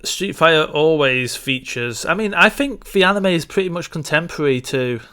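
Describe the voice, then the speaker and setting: A man's voice: on the high side, lively intonation, normal speed, sharp tone, clear, resonant tone. A man co-hosting a casual film discussion, explaining his opinions as he goes. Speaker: podcast co-host.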